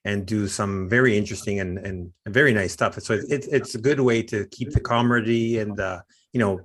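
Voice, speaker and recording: A man with a fairly low voice co-hosts a relaxed podcast. He rambles, doubling up words as he goes and circling back to his point. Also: a little fast, slight background noise. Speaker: podcast co-host. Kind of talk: casual podcast chat.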